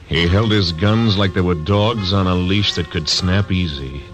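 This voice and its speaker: Brief: a deep-voiced lawman narrator, terse, music under old radio drama. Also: measured pace. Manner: laconic, clipped. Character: grim steady male frontier marshal. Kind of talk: 1940s radio acting.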